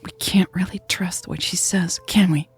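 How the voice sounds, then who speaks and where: A woman reader voicing a guilty, tearful woman who pleads with her lover softly and hesitantly in a romantic audio story. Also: on the low side, steady tone, moderate pace, in a whisper, pitched above the performer's own voice. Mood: hesitant, ashamed. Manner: soft, hesitant, breathy confessing. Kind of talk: audio fiction dialogue reading.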